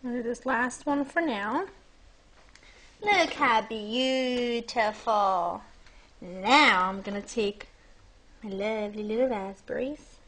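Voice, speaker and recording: Bubbly high-voiced woman cooking host. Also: slow, long pauses, fairly bright tone. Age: young adult. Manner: bubbly, chatty, addresses viewers directly. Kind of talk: home cooking demonstration. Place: home kitchen, indoors. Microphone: lapel mic.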